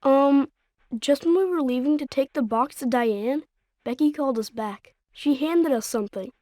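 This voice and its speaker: A woman's voice: sits high, normal speed, warm tone, dry recording, studio quality, lightly acted. A woman voicing an innocent little boy in a video game, speaking simply and earnestly.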